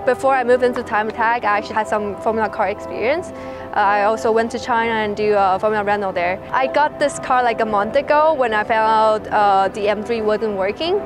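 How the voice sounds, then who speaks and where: A woman's voice: fairly high pitch, brisk. Woman driver from Taiwan, hesitant speech. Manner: hesitant, with fillers. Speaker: race car driver. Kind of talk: casual interview answers. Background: loud background music.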